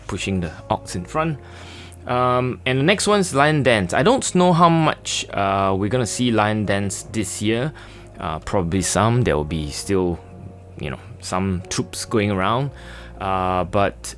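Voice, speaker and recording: A middle-aged man from Malaysia, talking casually through a sketching and watercolor tutorial with many fillers and pauses. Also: lowish voice. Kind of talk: relaxed tutorial narration.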